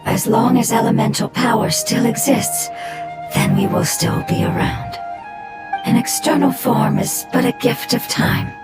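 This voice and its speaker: A performer voicing a small forest spirit creature in a video game, who speaks in a formal, solemn, breathy way. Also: mid-range voice, steady tone, measured pace, close to an everyday voice. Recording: loud game soundtrack playing behind. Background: loud game soundtrack music.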